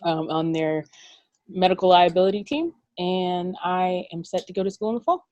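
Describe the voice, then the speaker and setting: A woman's voice: on the low side, normal speed, darkish tone, no echo, muffled recording. A woman in her twenties from Atlanta, talking casually on a panel with a hesitant manner and many fillers. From Atlanta, Georgia. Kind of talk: casual chat.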